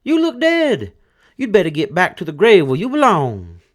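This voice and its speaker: A man's voice: sits high, huge pitch swings, normal speed, voice pushed up. A man reading aloud and voicing a blunt widow who scolds her dead husband. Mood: scolding. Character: sharp-tongued widow, woman.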